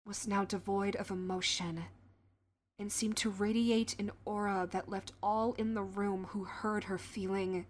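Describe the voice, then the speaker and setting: Slow, solemn woman narrating fantasy. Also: mid-range voice, flat delivery, slight background noise. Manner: solemn, deliberate, with dramatic pauses. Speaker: narrator and voice actor. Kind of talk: dramatic scripted fantasy narration. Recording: prominent music under the voice. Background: music.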